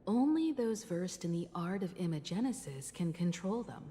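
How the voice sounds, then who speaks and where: Woman voicing a composed, lower-pitched game strategist. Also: expressive, warm tone, in a large hall, natural voice. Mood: calm, resolute. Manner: measured, explanatory. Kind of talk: video game voice acting.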